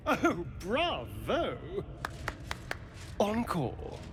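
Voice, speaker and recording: A woman playing a theatrical onlooker in a video game who gleefully approves of cruelty, with flamboyant, drawn-out delivery. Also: mid-range voice, huge pitch swings, background noise, exaggerated voice. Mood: gleeful approval. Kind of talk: video game character acting.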